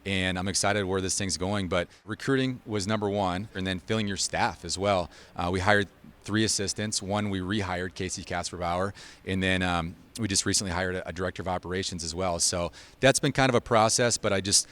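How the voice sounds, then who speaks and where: A man with a very low-pitched voice in a sit-down sports interview. He sounds like a coach explaining his approach, plain-spoken and informal in his phrasing. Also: steady tone, brisk, a bit bright. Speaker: college basketball head coach.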